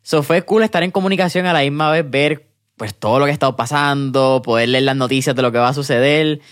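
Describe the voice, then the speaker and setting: Upbeat podcast host, dry sound. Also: male voice, mid-range voice, fast, no room sound. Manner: relaxed, mixes English words into Spanish. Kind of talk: casual interview.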